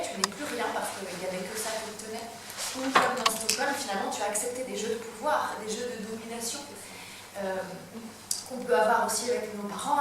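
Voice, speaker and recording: A woman speaks thoughtfully and personally on a panel. Her voice is a little high. It is picked up from far off in a very echoey hall with noise behind. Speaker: stage director on a panel. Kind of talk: reflective panel talk.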